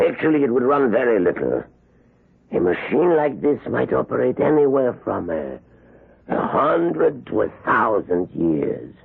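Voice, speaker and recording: An actor playing an assured, persuasive doctor of science in an old-time radio drama, speaking in a measured, theatrical way. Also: male voice, medium pitch, sing-song, gravelly, slightly rough, full character voice. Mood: explaining. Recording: muffled narrow-band old radio recording.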